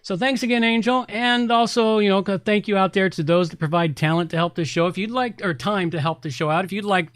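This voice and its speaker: A man's voice: a little high, no echo, clean studio sound. A man hosting a podcast, talking casually and informally through reviews with chatty asides. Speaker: podcast host.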